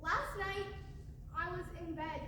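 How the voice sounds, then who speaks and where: An anguished young small-town woman with a very high, pleading voice, played by a young actress on stage. She sounds distant from the mic in a strongly echoing auditorium. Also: steady tone, takes it slowly, bright, forceful tone, full character voice. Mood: anguished, intense. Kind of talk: high school one-act stage play. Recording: echoey auditorium, distant mic, background hiss.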